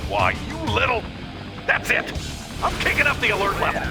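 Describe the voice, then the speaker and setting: An actor playing a blustering, hot-tempered Allied commander, taunting and combative in a video game. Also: male voice, sits high, huge pitch swings, normal speed. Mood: exasperated. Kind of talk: exaggerated video game acting. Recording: loud music and battle noise behind. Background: music, artillery and crashes.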